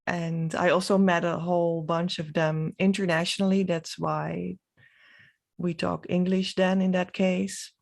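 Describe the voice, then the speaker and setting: Low, slow-talking Dutch woman with creaky voice. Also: little pitch movement. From Netherlands. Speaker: spiritual vlogger. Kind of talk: reflective, unscripted monologue to camera.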